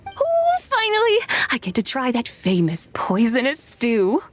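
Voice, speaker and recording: A woman's voice: high voice, huge pitch swings, measured pace, background noise, exaggerated voice. A woman voicing a haughty, amused immortal being in a video game, with lofty, old-fashioned theatrical diction. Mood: eager, theatrical. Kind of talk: video game character acting. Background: background music.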